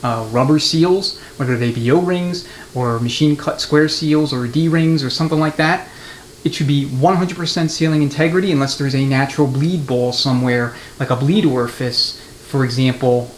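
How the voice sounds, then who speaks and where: A man with a mid-to-low voice walks through a hands-on repair job like a shop instructor. He is methodical and plain-spoken, laying out each step as he works. The room rings with echo, and there is clear noise behind him. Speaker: transmission mechanic giving a how-to demonstration. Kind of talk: hands-on workshop tutorial, unscripted explanation. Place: indoors at a transmission workbench.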